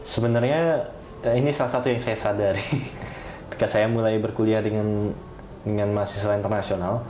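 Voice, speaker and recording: A young man with an Indonesian accent chatting as a podcast guest, explaining in a measured way and pausing to find words. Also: lowish voice, fairly even intonation, a little mellow. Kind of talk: casual interview chat about studying abroad. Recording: roomy echo, clear background noise.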